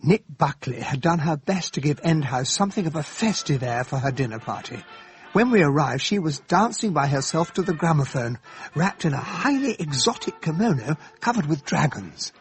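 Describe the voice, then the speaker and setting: An actor playing an earnest English gentleman sidekick, well-spoken and descriptive, narrating and acting in a radio drama. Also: on the high side, very animated, moderate pace, soft background music. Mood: reflective narration.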